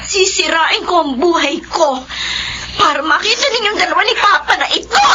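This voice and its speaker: A woman's voice: extremely high pitch, lively intonation, normal speed, muffled recording, noisy background. A rebellious teenage boy. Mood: defiant. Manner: defiant, sulky, bursts into shouting. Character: rebellious teenage son, resentful of parents' split. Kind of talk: radio drama acting.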